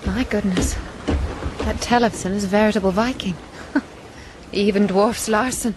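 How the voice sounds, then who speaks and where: A woman's voice: medium pitch, fairly even intonation, normal speed, close to an everyday voice. Woman playing a genteel, spirited lady passenger. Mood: composed. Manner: genteel, articulate, formal. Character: refined, spirited lady passenger at sea, curious and composed. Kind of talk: radio drama acting. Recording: noisy ship ambience behind voice.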